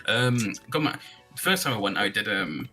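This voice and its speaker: A man's voice: on the low side, sing-song, moderate pace, over music. A man in his twenties, a guest chatting casually on a livestream, laid-back and dry, swearing now and then. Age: 20s.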